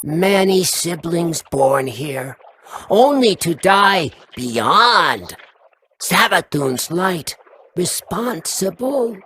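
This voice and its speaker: A woman's voice: lowish voice, big pitch swings, very slow, fairly bright tone, a little hiss or hum, exaggerated voice. A woman voicing a scornful, taunting parasitic worm creature in a video game, speaking in clipped fragments. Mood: ominous.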